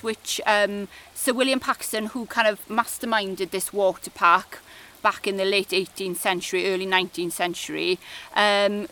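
Welsh woman, 40s, bright tense tone, chatty interview guest. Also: mid-range voice. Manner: chatty, many fillers like "kind of". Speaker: project officer. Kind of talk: casual interview chat.

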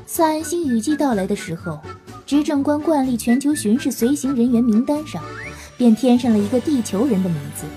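A woman's voice: high voice, dark tone. A woman reading scripted narration for an audio drama.

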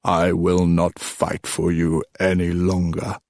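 A man voicing an old, drowsy king and wry father, telling tales slowly and deliberately in an audiobook. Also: low voice, monotone, darkish tone, no room sound. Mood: slow, storytelling. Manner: slow, deliberate storyteller's delivery with sly teasing.

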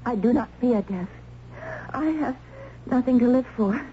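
An actress playing a terrified young condemned peasant maid in an old-time radio drama, halting and fervent. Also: female voice, fairly high pitch, close to an everyday voice. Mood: desperate pleading. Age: young adult. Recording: tinny, noisy old radio recording.

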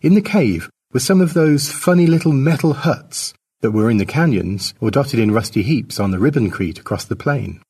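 A man's voice: medium pitch, animated, normal speed, few pauses, darkish tone, breathy edge. A man reading his own short story as a scripted narration.

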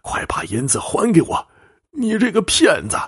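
Man acting aggrieved old commoner, voice swooping high. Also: wildly swooping pitch, moderate pace, breathy edge, heavily acted. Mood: angry. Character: aggrieved, gullible elderly commoner man with a cough.

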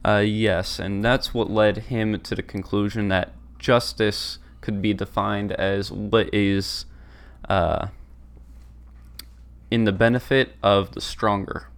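Man with a lowish voice, measured podcast co-host who explains steadily with the odd filler. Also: steady tone, smooth. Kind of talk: casual discussion podcast.

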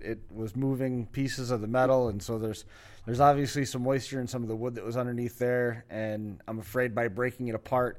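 A man co-hosting a podcast, telling a long, rambling story in a relaxed, casual way with frequent restarts. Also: mid-range voice. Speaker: podcast co-host.

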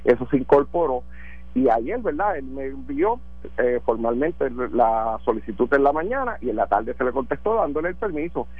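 A man's voice: medium pitch, expressive, moderate pace, husky, no room sound. A man, a government transportation secretary explaining policy on a radio phone interview, with many fillers. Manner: explanatory, full of verbal fillers. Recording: tinny dry phone line.

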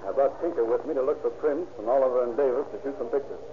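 An actor playing a brisk, businesslike police captain in 1930s radio drama style. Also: male voice, medium pitch, lightly acted. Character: brisk, businesslike male police captain leading a murder investigation. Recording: tinny, hissy old radio recording.

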